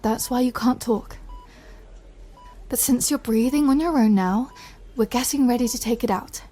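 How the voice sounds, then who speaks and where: A woman playing a gentle, patient recovery-room clinician, speaking softly in an ASMR roleplay. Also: a little high, moderate pace, lightly acted. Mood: gentle, reassuring. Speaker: voice actor in an audio roleplay. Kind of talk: soft ASMR comfort roleplay.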